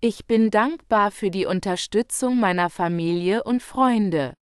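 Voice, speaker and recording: Slow, dry-voiced woman reading German phrases. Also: mid-range voice, clear, resonant tone, no room sound. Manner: carefully enunciated, each phrase read twice. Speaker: German example sentence reader. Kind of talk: slow, clear model sentences for learners.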